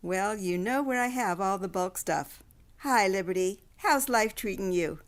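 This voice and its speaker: A woman's voice: mid-range voice, a little slow, lightly acted. A woman playing a chatty, nosy general store owner in a radio drama. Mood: friendly, nosy.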